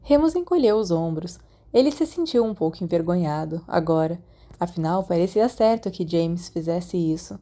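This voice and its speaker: A woman's voice: fairly low pitch, animated, normal speed, dark tone. A woman with a Brazilian accent reading a story aloud as a scripted audiobook narration. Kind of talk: scripted fan fiction narration with acted dialogue.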